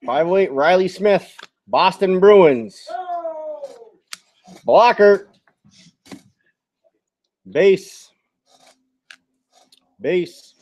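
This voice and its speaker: A man's voice: high-pitched, animated, very slow, long pauses, highs cut off. A man hosting a card box break, announcing each item in clipped, short bursts. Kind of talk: live card-opening commentary, terse announcing. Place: card shop with onlookers seated nearby.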